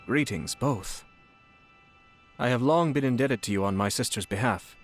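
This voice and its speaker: Casting: man as courteous young game aristocrat, mid-pitched, composed and eloquent, faint music behind. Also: moderate pace, lightly acted. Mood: gracious, composed. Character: refined, courteous young nobleman, head of a clan. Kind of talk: video game character acting.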